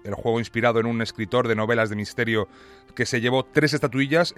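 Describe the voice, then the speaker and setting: A man's voice: mid-range voice, a bit bright. A man with an accent from Spain reading scripted technology news as a radio reporter. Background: music.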